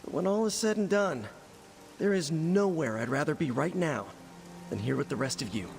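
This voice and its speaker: A man's voice: fairly high pitch, animated, normal speed, vocal fry, darkish tone, slight background noise. A man playing a wisecracking young American superhero in a video game, earnest and self-deprecating. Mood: wistful joking. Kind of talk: video game cutscene acting.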